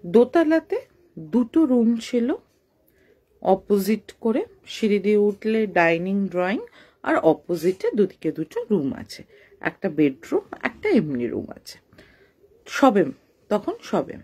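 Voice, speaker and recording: A woman storyteller speaks at a slow, measured pace. Her mid-pitched voice moves up and down animatedly as she explains details conversationally. She talks straight to her listeners, as in informal spoken storytelling. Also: very animated, warm tone, slightly rough.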